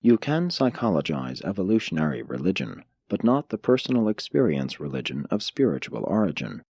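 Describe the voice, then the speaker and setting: A man narrates an audiobook in a low voice with a husky edge. His delivery is formal and measured, yet the intonation moves widely as he reads scripted prose. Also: big pitch swings, a little mellow, no room sound, highs cut off. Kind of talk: scripted reading of religious philosophy.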